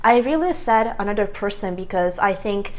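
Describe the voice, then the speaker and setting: A woman's voice: medium pitch, expressive, normal speed. A woman vlogger giving a casual, earnest self-help talk to camera, rambling and correcting herself as she goes, on a clip-on microphone.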